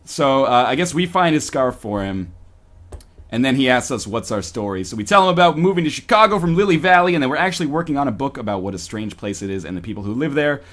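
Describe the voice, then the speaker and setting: A man's voice: medium pitch, animated, quick pace, a bit bright. A man reading a story aloud on a stream in a playful, mock-dramatic way with joking asides.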